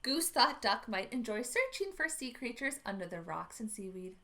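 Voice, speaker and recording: A woman reading a picture book aloud to young children at library storytime, expressive, with dramatic asides. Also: a little high, moderate pace.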